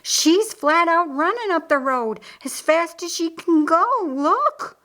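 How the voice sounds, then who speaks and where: A woman's voice: very high voice, animated, takes it slowly, bright, forceful tone, voice pushed up, heavily acted. A woman playing an anxious, dutiful female household slave, urgent and deferential, in an audiobook.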